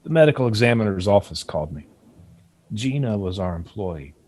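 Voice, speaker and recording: Man playing a guarded, quietly menacing doctor. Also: fairly low pitch, expressive, fairly quick, a little hiss or hum, lightly acted. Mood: measured, probing. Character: smooth, veiledly menacing male doctor, defensive and condescending. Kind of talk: screenplay table read.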